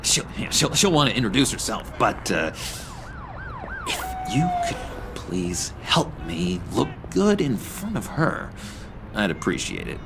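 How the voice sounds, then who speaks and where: A man playing an eager, unstable, childlike misfit in a video game, stammering and excitable. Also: lowish voice, unhurried, noisy background, full character voice. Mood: bashful, nervous. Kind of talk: video game character acting.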